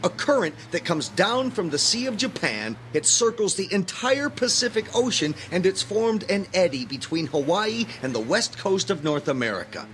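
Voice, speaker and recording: A man's voice: sits high, sing-song, normal speed, few pauses, a bit bright, slight background noise. A man acting in an audio drama as an earnest, idealistic young graduate student with an intense, preachy manner. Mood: indignant, worked up.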